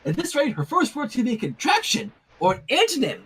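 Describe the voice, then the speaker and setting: Story reading: earnest, chatty bookish young woman, voiced by a man, lively swooping pitch, polite and wordy. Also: fast, slightly rough, heavily acted.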